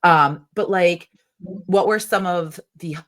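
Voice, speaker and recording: A woman's voice: fairly low pitch, slow pace, a bit bright. A woman hosting a podcast in casual interview chat, chatty and enthusiastic, full of fillers like "like". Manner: chatty, enthusiastic, uses "like" a lot. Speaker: podcast host.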